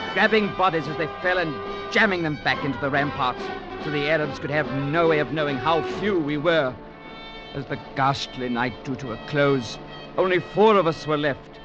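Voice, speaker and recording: A man's voice: fairly high pitch, close to an everyday voice. An actor playing an earnest young legionnaire who tells his story as a grave, dramatic narrator in a 1940s radio drama. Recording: dull 1940s radio recording with music.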